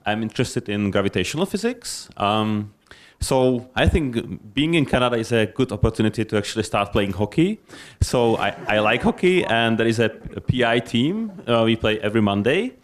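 A man's voice: medium pitch, big pitch swings, normal speed. A man, a physics fellow, introducing himself and inviting others to join in, friendly.

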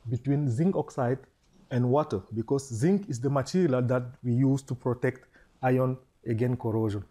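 A man's voice: medium pitch, a little mellow. A man, giving an earnest public talk as a scientist who strings his points together with "so" and "and then". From Cameroon. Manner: earnest, builds up with frequent "so" and "and then".

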